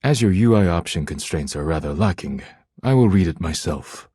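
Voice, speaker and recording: A man playing a proud, thin-skinned poetic living dish in a video game, speaking in a formal, deliberate, grave manner. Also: sits low, expressive, warm tone, husky, no room sound, clean studio sound, full character voice. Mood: reluctant reciting. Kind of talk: video game character acting.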